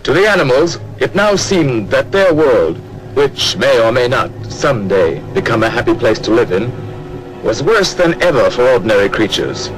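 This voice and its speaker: A man giving formal, measured, scripted storytelling narration for a 1950s animated film. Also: medium pitch, soft background music, low-quality recording.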